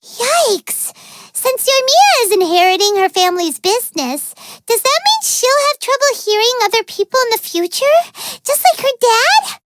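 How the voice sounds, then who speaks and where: A woman's voice: extremely high pitch, sing-song, normal speed, background noise. A woman voicing a tiny, childlike fairy companion in a video game, greedy, naive and dramatic, with an exclamatory, whiny manner. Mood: worried. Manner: speaks of self in third person, exclamatory, whiny. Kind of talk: video game character dialogue.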